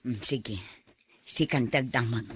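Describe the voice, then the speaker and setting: A woman playing an older single auntie in a radio drama, with an Ilocano accent and a husky voice. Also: a bass voice, takes it slowly, slight background noise. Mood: wistful, curious. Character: older aunt, lonely single woman seeking old flame.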